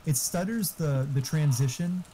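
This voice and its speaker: A man's voice: fairly high pitch, unhurried. A man chatting casually over an online game, talkative and profane, explaining tech. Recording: faint background noise.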